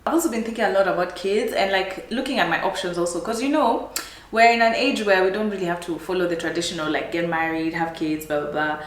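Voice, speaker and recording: A woman in her thirties with a Kenyan accent, chatting casually to her viewers in a life-update vlog, animated and laughing often. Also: on the low side, fast talker, reverberant. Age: 30s. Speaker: vlogger.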